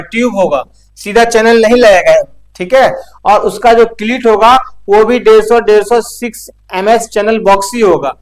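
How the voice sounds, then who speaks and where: A man's voice: sits high, a bit bright, poor audio. A male instructor talks through practical technical material in an informal way. He explains things step by step and often adds short check-in tags to keep the learner following.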